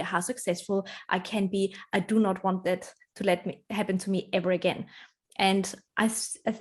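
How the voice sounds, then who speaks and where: Woman, non-native English speaker, interview guest; earnest, reflective, ordinary mid-range pitch. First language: not English. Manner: reflective, earnest, laughs often. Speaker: interview guest, branding strategist. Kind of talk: conversational interview answers.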